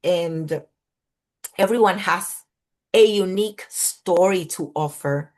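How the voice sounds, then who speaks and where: A woman's voice: fairly low pitch, darkish tone. A woman whose first language is Spanish, a clinical psychologist being interviewed on a video call, speaking in careful, list-like phrasing. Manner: slow, thoughtful, list-like phrasing. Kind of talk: interview conversation.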